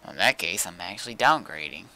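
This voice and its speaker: A man's voice: low voice, animated, fairly quick, crowd noise. A man talking casually while troubleshooting a computer on a livestream.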